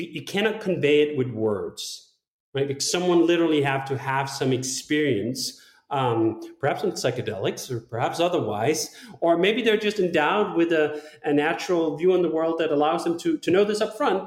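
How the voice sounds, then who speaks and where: A man's voice: fairly high pitch, roomy sound. A man from Denmark speaks reflectively and emphatically, thinking aloud in a long-form conversation.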